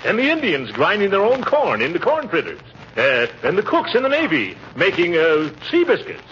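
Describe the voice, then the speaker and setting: Blustery, swooping old-time radio uncle. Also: male voice, high-pitched, wildly swooping pitch, normal speed. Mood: persuasive, self-assured. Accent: American. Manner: blustery, hemming and hawing. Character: pompous, blustering middle-aged uncle, flustered but eager. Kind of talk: 1940s radio comedy acting. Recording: muffled, noisy old radio recording.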